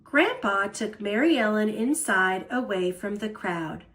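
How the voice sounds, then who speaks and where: A woman storyteller reading a children's picture book aloud in an expressive style. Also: mid-range voice, a little slow.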